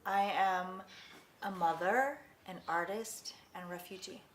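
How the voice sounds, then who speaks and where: A woman's voice: mid-range voice, takes it slowly. Thoughtful woman in an echoey room. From Vietnam, raised in Los Angeles. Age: 40s. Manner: thoughtful, with frequent restarts. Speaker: artist interviewee. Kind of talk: reflective oral history interview. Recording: echoey room, faint background noise.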